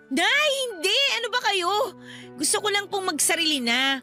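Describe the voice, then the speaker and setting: A hurt eighteen-year-old daughter in a radio drama. Her voice is high and sharp, pleading and stubborn as she longs for independence, with faint music underneath. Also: big pitch swings, moderate pace, exaggerated voice. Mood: pleading, defensive. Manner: pleading, plaintive. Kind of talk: Tagalog radio drama acting.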